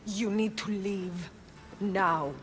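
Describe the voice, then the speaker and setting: A woman's voice: medium pitch, steady tone, very slow. An actress playing a solemn female oracle with a grave, commanding manner. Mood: urgent. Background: game score music.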